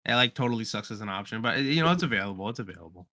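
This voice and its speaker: Very bright-voiced man in casual chat. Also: fairly low pitch, lively intonation, racing. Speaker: podcast co-host.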